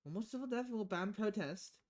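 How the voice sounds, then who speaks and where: A woman's voice: mid-range voice, expressive, brisk, a little mellow. A woman streaming a visual novel, reading the text aloud expressively and often stumbling and correcting herself. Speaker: video game streamer.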